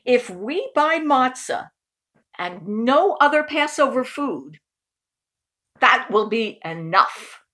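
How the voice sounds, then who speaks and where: A woman voicing an agreeable uncle full of ideas in a children's picture book, with emphatic, drawn-out delivery. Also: high voice, very animated, fairly bright tone, pitched above the performer's own voice, exaggerated voice. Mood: agreeable, eager.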